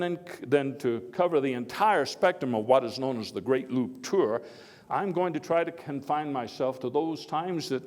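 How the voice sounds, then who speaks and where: A man playing Theodore Roosevelt as a hearty, folksy elder statesman, giving a halting, oratorical first-person speech of reminiscence. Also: mid-range voice, close to an everyday voice. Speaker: historical reenactor giving a speech. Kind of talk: first-person dramatized historical speech, storytelling. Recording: echoey hall with long reverb.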